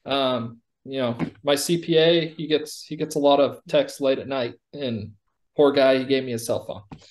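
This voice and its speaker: A man giving a conversational product demo over a video call, explaining like a company executive presenting software. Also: medium pitch, moderate pace, rough recording.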